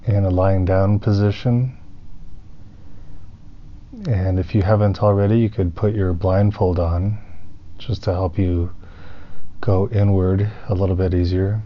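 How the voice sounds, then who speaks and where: A man leading a guided meditation as a teacher, soothing and unhurried, pausing between phrases. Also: low-pitched, steady tone. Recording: muffled, with clear background noise.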